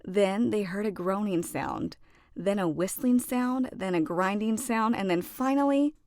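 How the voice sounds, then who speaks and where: A woman's voice: mid-range voice, expressive, unhurried, a little mellow, no room sound. Woman reading a storybook, dry close sound. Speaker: children's book read-aloud narrator. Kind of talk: expressive storybook narration.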